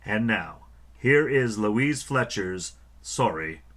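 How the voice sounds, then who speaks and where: A man playing an ominous host of a vintage radio suspense show, speaking portentously with dramatic pauses over music. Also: on the low side, expressive, fairly bright tone, full character voice. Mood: ominous, grave. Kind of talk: 1940s-style radio narration, theatrical.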